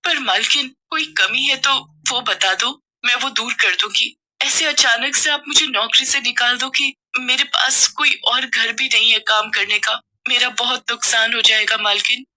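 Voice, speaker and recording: A woman's voice: on the high side, fairly even intonation, measured pace, few pauses, pushed and bright, pitched above the performer's own voice. Woman doing a humble young maid. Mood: pleading. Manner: humble, pleading.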